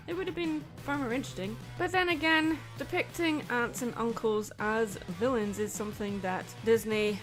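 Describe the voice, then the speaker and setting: A woman hosting a video essay, reading scripted commentary. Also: mid-range voice. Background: background music.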